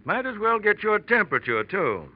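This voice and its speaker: Brisk ship's doctor, very animated intonation. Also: male voice, sits high, over loud music. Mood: genial, reassuring. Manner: brisk, patronizing, reassuring. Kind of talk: 1940s radio drama acting. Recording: dull vintage radio recording, faint noise.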